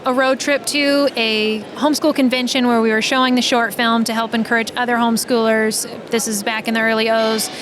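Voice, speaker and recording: A woman guest on a podcast, chatting casually and telling stories in an animated manner, with quoted asides. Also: fairly high pitch, fairly quick, hardly any pauses. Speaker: podcast guest, audio drama writer. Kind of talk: casual interview chat. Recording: clear background noise.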